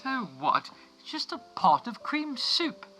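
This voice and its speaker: A man's voice: extremely high pitch, sing-song, measured pace, bright, forceful tone, faint music behind, heavily acted. A man playing a gullible old miser in a parody radio play, hammy and theatrical. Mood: rueful. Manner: overacted, wailing, theatrical.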